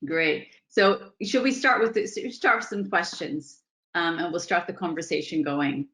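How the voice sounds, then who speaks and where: A roomy, echoing recording of a woman moderating an online panel. Her voice is a little low, and she speaks conversationally as she guides the discussion. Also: normal speed, a bit bright. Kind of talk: conversational virtual conference moderation.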